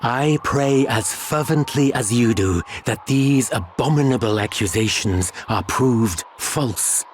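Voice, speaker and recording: An actor playing a stern, sanctimonious bishop in a video game, speaking in solemn, archaic oratory. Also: male voice, mid-range voice, slow, hardly any pauses, slight background noise, close to an everyday voice. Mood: grave, accusing. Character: stern, sanctimonious bishop presiding over a witch trial. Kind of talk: dramatic video game acting.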